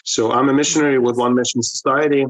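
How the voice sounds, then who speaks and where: A man, a pastor speaking in a remote news interview, halting with frequent restarts and fillers. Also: medium pitch, steady tone, dry recording, low-quality recording. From Ukraine. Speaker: pastor and missionary. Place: in Lviv, Ukraine, remote link.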